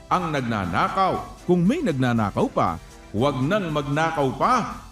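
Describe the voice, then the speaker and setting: A man's voice: a little high, fairly bright tone. A man preaching in an admonishing, emphatic way, asking rhetorical questions and quoting scripture. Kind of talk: religious exhortation with rhetorical questions and scripture quotes.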